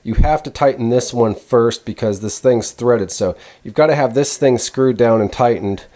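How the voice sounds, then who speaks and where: A man's voice: medium pitch, moderate pace, mellow, no room sound. A man hosting a gear review, talking casually and thinking aloud as he rambles through a hands-on demonstration. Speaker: host of a radio gear review channel.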